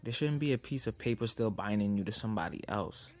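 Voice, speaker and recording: An actor playing a young man in love in an audio drama, speaking earnestly and in a measured way. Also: on the low side, expressive, close to an everyday voice. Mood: serious.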